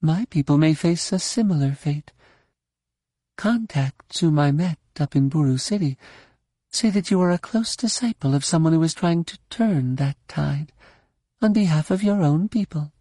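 Man putting on a haughty, husky noblewoman. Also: on the high side, normal speed, dark tone, no echo, studio quality, pitched above the performer's own voice, close to an everyday voice. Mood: flattering, formal. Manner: regal, formal. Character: haughty noblewoman, imperious and commanding, in disguise.

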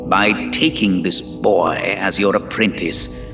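A cruel old emperor, harsh and hypnotic, put on by a male narrator. He speaks in a very low, slow drawl, with music under him. He is a menacing storybook villain. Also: steady tone. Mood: cold.

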